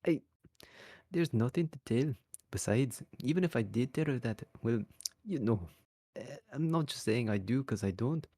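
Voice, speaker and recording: A man voicing a gruff, awkward male ogre who talks haltingly and shyly. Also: mid-range voice, animated, warm tone, dry recording, studio quality, pitched above the performer's own voice. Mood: defensive. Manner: halting, shy, hesitant.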